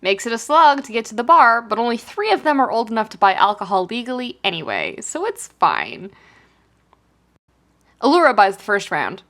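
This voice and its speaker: A woman reading fiction aloud as a podfic narrator, in an expressive scripted storytelling style. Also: fairly high pitch, moderate pace.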